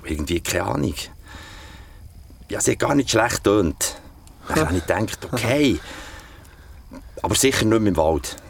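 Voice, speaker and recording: Low-voiced young Swiss German storyteller. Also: male voice, lively intonation, normal speed, gravelly, pauses often, a little hiss or hum. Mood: confiding, reminiscing. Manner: colloquial, crude slang, confiding. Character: young man telling a creepy date story by campfire. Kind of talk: audio drama, casual oral storytelling.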